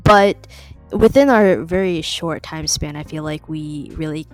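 A woman's voice: sits low, a little slow, dry recording, faint music behind. A young woman from California hosting a casual podcast interview, chatty with lots of ums and likes. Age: young adult. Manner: chatty, many ums and likes, laughs between phrases. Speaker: podcast host.

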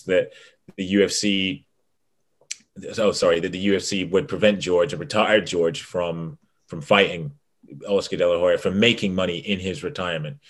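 A man's voice: low-pitched, pushed and bright. A man co-hosting a casual sports podcast, rambling with frequent restarts and easy laughs. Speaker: podcast co-host.

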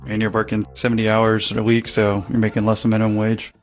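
A man's voice: on the low side, monotone, fast talker, soft background music. A man hosting an interview podcast who asks curious questions in a casual way. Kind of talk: conversational interview.

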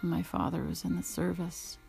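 A middle-aged American woman talking informally and personally about her own paintings, halting, reflective and emotional. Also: fairly low pitch, little pitch movement, creaky, over music. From United States.

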